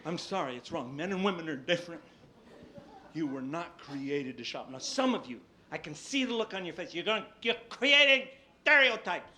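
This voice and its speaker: A high, squeaky-voiced man performs live stand-up storytelling. He is animated, dropping into hushed asides and rising to loud exclamations, over clear background noise from the venue. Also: moderate pace. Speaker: stand-up comedian. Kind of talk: live comedy storytelling to an audience. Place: live stage before an audience. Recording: live stage recording, noisy background.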